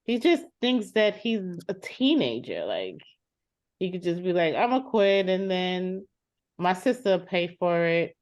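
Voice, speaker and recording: Blunt, fed-up sister with a lowish voice, acted by a woman. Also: big pitch swings, a little slow. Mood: weary, distrustful. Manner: exasperated, insistent, blunt. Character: fed-up adult sister at a therapy session. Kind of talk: dramatized therapy session, conversational. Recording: dull lo-fi sound.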